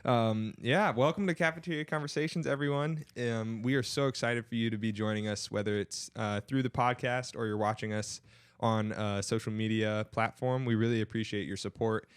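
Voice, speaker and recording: A man's voice: medium pitch, fairly even intonation, moderate pace, clear, resonant tone, no room sound, clean studio sound. A young man hosting a podcast in a casual, chatty style. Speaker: podcast host.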